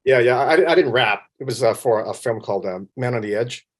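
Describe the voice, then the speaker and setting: A man from Vancouver with a Canadian accent, chatting casually as an interview guest in a modest, self-deprecating, halting way. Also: mid-range voice. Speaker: interview guest, film composer.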